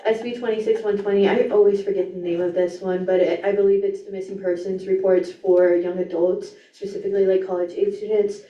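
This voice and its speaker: A woman's voice: mid-range voice, little pitch movement, brisk, few pauses. A young woman giving a legislative report at a student meeting, casual and reading from notes with ums and small slips. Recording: echoey room, distant mic, dull sound.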